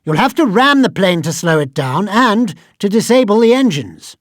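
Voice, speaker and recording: A precise, formal British spy quartermaster in a video game. His voice is high and lively in pitch. He briefs an agent with pride and dry wit. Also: normal speed, no echo. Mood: proud, formal.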